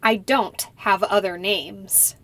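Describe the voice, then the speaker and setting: A young woman playing a polite citizenship applicant who answers slowly and carefully in a scripted practice interview. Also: mid-range voice, steady tone, a bit bright, no room sound. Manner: slow, careful, deliberate answers. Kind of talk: scripted role-play interview.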